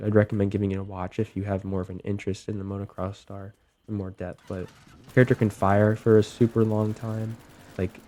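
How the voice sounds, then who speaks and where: A young man getting over a cold, talking through game tips in a relaxed way with lots of ums and likes. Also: lowish voice. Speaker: gaming video host. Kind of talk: casual gameplay commentary.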